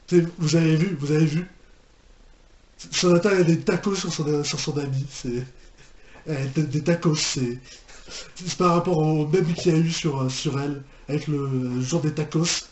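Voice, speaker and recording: A man's voice: a little high, steady tone, a little slow, pauses often, darkish tone. A man giving casual reaction commentary, often repeating himself and hesitating in disbelief. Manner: hesitant, repetitive, incredulous exclamations. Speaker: reaction video host. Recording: echoey room, faint noise.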